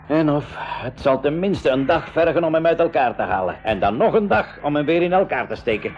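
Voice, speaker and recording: A man's voice: on the high side, expressive, brisk, natural voice. A man playing a practical, reassuring uncle and professor in a 1980s radio drama, explaining things matter-of-factly. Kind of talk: 1980s school radio drama acting in Dutch. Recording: muffled 1980s radio with faint noise.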